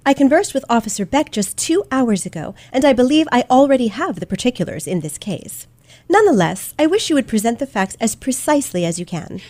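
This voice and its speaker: A woman's voice: on the high side, sing-song, a little mellow. A woman playing a poised teenage girl detective from the 1870s in a radio play, speaking formally and courteously. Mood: assured, courteous. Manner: formal, courteous, measured phrasing. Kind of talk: old-fashioned radio play acting.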